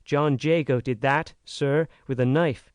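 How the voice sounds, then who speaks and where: A man's voice: on the high side, steady tone, measured pace, clear, resonant tone, no room sound, close to an everyday voice. A man reading an audiobook, voicing an earnest, frank young American woman who pleads with emphasis. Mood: earnest pleading.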